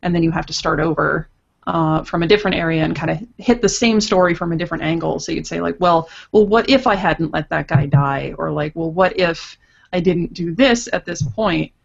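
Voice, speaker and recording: Woman, creaky voice, casual explainer, podcast guest, dull video-call sound. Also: lowish voice, a little fast. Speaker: guest interviewee, visual novel writer. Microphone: video call over internet.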